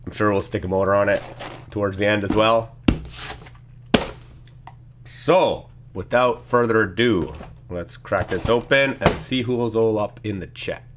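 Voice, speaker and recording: A man with a hoarse, congested voice from a sinus infection, casually chatting through a live stream while building a set and reading the chat. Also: lowish voice, measured pace, long pauses, background noise. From Canada. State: losing his voice from a sinus infection.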